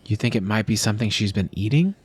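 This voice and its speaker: Man acting as low-voiced inquisitive scientist. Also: animated, fast talker, slightly rough, dry recording. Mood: questioning. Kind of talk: audio drama acting.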